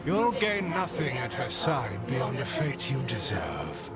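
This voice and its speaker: A man's voice: mid-range voice, wildly swooping pitch, slow, full character voice. A man voicing a fanatical, grandiose cultist villain in a fantasy video game, theatrical and menacing. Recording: loud music over the voice. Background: music.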